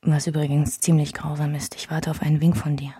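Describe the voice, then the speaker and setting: Subdued, softly dark-voiced wistful woman. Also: deep voice, little pitch movement, a little fast, slightly rough, no room sound, studio quality. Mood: wistful, tender. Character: wistful woman recalling a past love triangle. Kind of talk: intimate narration in a literary radio play.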